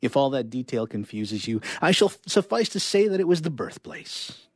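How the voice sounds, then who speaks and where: A man performing a live reading of short stories, with expressive delivery and casual asides. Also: medium pitch, normal speed, no room sound, clean studio sound.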